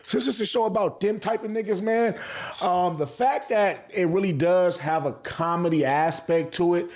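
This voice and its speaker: A man's voice: high voice, normal speed, a little mellow. A man hosting a casual anime review, talking in heavy slang and profanity, repeating phrases for emphasis. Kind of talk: casual hype review commentary.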